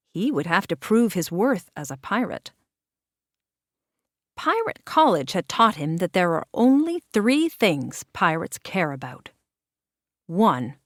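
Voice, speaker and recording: A woman reading a children's picture book aloud in an expressive, measured narration with deliberate dramatic pauses. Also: mid-range voice, no echo, studio quality. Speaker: children's storybook reader.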